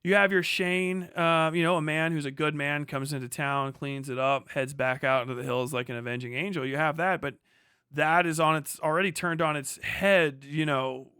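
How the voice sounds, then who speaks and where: A man with a clear, bright and fairly high voice talks through a livestream monologue. He wanders from point to point, gives firm opinions and swears freely. The sound is close and dry. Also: fairly even intonation, moderate pace, no room sound. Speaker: livestream host. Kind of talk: rambling livestream monologue on film and culture.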